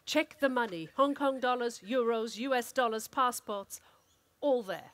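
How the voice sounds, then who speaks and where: A woman performing a dramatic, expressive reading of a comic story as an actor. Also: on the high side, a little slow, lightly acted. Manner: theatrical, expressive delivery.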